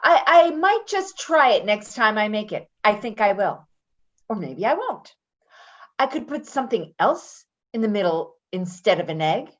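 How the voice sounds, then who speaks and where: Actress as chatty female caregiver, very animated pitch, defensive, halting and rambling, stage drama, dull lo-fi sound. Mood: irritated, needling. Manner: rambling, halting, with nervous laughter. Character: brash, chatty, defensive woman caregiver named Patti. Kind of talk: naturalistic readers theater acting.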